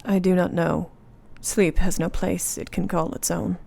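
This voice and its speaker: An actress playing an earnest, brave young gentlewoman in a radio play, with formal period phrasing. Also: lowish voice, little pitch movement, unhurried, vocal fry, exaggerated voice. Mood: entranced. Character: earnest, brave young married gentlewoman under a vampire's curse.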